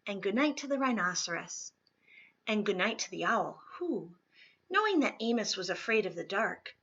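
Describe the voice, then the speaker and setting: A woman reading a children's storybook aloud expressively, with dramatic, drawn-out emphasis on words. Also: mid-range voice, big pitch swings, fairly bright tone, husky, muffled recording. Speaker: storybook reader.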